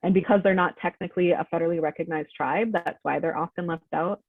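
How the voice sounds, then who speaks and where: A woman's voice: lowish voice, steady tone, fast, no room sound. A woman presenting a workshop over a video call, speaking in an informative, affirming way. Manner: informative, affirming, uses "um" a lot. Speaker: workshop presenter from an advocacy group. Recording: tinny, dry phone-like audio.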